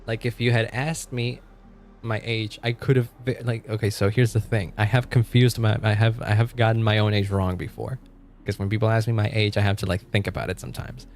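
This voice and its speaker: Chatty young man, lowish voice. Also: little pitch movement, a little fast, a little mellow, slight background noise. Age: 20s. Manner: rambling and chatty, laughs often, trails off mid-sentence. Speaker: streamer. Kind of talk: casual live-stream chat, reacting to chat and game.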